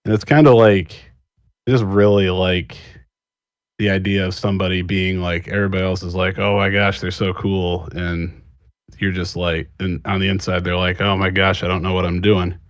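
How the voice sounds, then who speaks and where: A man talking casually as a panelist, thinking aloud in a halting, rambling way. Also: sits low, level tone, dry recording, studio quality. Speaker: panelist, scriptwriter.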